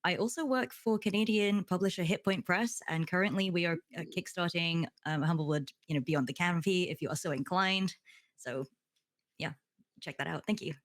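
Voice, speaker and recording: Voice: medium pitch, moderate pace, a bit bright, dry recording. A guest player and game designer giving a casual, polite, matter-of-fact sign-off.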